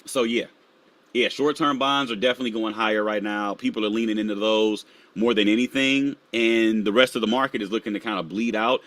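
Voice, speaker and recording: A man's voice: fairly even intonation, a little fast, fairly bright tone, no room sound. A man hosting a live stream, casually walking viewers through market charts and sometimes muttering to himself as he pulls up the next one. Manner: casual, talks to viewers while pulling up charts; drops to a low mutter while searching charts.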